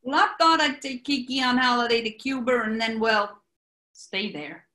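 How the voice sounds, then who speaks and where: A woman's voice: on the high side, moderate pace, sharp tone. A woman playing a brash, scheming male gangster boss with an American accent in a 1940s-style radio play, wisecracking and bossy. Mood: boastful, sardonic. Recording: roomy echo.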